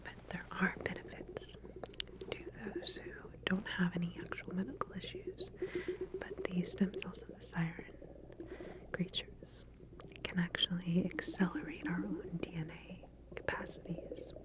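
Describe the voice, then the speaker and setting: Female ASMR researcher role: hushed whisper, lowish voice, gentle clinical explanations with hesitant pauses. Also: very animated. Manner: soft, hesitant, explanatory, reassuring clinical talk. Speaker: ASMR roleplayer playing a lab researcher. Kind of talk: whispered ASMR medical roleplay.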